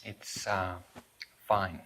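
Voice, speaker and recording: A man's voice: low voice, little pitch movement, extremely slow, fairly bright tone, slight background noise, exaggerated voice. An actor playing an earnest, polite young medical student who questions his superiors, in a dramatic video game cutscene. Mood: troubled, deferential. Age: young adult. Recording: music behind the voice.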